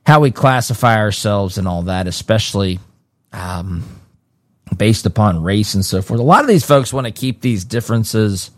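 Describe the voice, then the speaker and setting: A man's voice: mid-range voice, dry recording, studio quality. A man hosting a casual solo commentary, reading from an article and breaking off into conversational asides with frequent restarts. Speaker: political commentary host.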